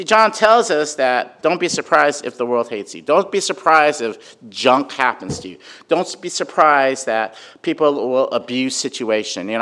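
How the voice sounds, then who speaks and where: A man giving an informal sermon with a personal story, talking conversationally and loudly, with many fillers and frequent "right?" check-ins. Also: medium pitch. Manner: conversational, filler-heavy, asks "right?" often; says he has a really loud voice. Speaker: preacher.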